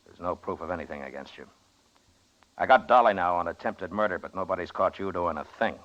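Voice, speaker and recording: A stern American lawman of the Old West in a radio drama. His voice sits very low, and he talks in blunt, commanding phrases. The old recording is muffled. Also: a little fast, a bit bright. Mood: hard, commanding. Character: stern, unyielding frontier US marshal, middle-aged man. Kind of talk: old-time radio western acting.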